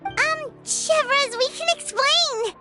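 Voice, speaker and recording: A woman's voice: very high voice, slow. A woman voicing a tiny, childlike, eager fairy companion in a video game. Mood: flustered. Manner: refers to self by name, exclaims eagerly. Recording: loud music behind the voice.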